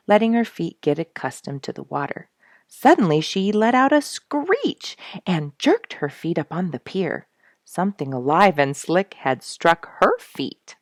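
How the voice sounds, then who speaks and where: A woman with a low, slightly creaky voice reads a story aloud. Her delivery is expressive and dramatic, like storybook narration, and the sound is close and dry. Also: no room sound. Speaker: storyteller reading a book chapter aloud.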